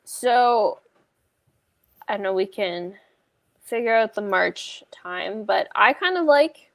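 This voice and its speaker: A woman's voice: mid-range voice, animated, slow, gravelly, a bit bright, dry recording. A woman hosting a casual book discussion on a video call, talking in a hesitant, rambling way with lots of "like". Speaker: book club livestream host.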